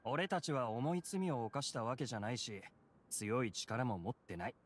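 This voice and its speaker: Friendly game inmate, male actor: medium pitch, very varied intonation, earnest and plain-spoken. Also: big pitch swings, normal speed, slight background noise, close to an everyday voice. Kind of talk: anime game voice acting.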